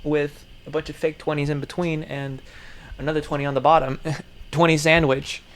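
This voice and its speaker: A man giving casual, profane running commentary, muttering and then breaking into sudden loud outbursts, his throat strained. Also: on the high side, level tone, moderate pace, low-quality recording. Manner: swears a lot, mutters, jumps to loud outbursts. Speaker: streamer reacting to videos.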